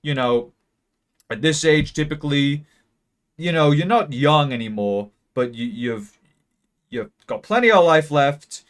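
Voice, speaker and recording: Young man, late teens, deadpan mock-math rambler, hesitant, close peaking mic. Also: fairly high pitch, a little slow, clean tone. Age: young adult. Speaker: video creator. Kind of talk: mock-serious graph explainer. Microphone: close mic that peaks.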